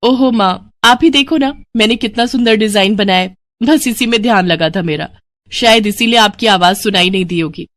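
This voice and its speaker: A woman's voice: medium pitch, moderate pace, fairly bright tone, dry recording, close to an everyday voice. A woman voicing a cheerful young daughter who talks in a sweet, coaxing way.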